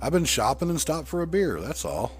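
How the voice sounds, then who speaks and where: A man's voice: on the high side, very animated, a little fast, soft background music, pitched above the performer's own voice. A male narrator voicing a jittery, down-on-his-luck man who talks to himself in colloquial, self-scolding lines. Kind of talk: acted audiobook dialogue.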